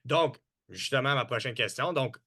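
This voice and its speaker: A man's voice: medium pitch, animated, moderate pace, sharp tone. A man hosting a podcast, asking polite, structured interview questions. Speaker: podcast host.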